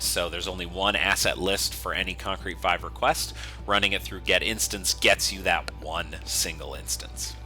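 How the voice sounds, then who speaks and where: A man giving an informal, step-by-step software tutorial as a developer walking through code. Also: low voice. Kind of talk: screencast coding tutorial, semi-improvised walkthrough.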